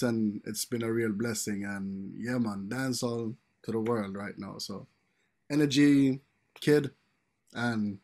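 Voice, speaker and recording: A man talking as a guest DJ in a casual panel chat, laid-back, his phrases often trailing off quietly. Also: mid-range voice.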